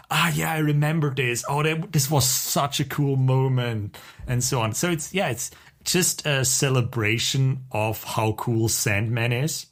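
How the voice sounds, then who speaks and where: A man talking casually and enthusiastically as co-host of a podcast. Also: medium pitch.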